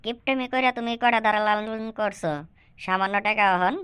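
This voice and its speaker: A woman's voice: medium pitch, a little fast, fairly bright tone, clear, resonant tone, lightly acted. A woman voicing a cheeky, demanding school-age nephew who argues in rhyme in a comic cartoon. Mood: defiant. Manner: cheeky, argumentative, rhyming comebacks. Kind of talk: rhyming comic cartoon dialogue.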